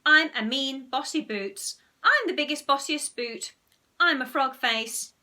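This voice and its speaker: A woman voicing a rude, cheeky young boy in a children's story, playful and deliberate in delivery. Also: a little high, bright, forceful tone, exaggerated voice. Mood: mock boastful.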